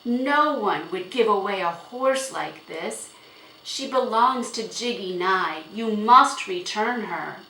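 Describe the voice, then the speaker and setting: A woman voicing a firm, principled middle-aged father in an audiobook, with formal, deliberate speech. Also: mid-range voice, lively intonation, sharp tone, reverberant, voice pushed up, full character voice, poor audio. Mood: stern, gentle. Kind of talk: audiobook character acting.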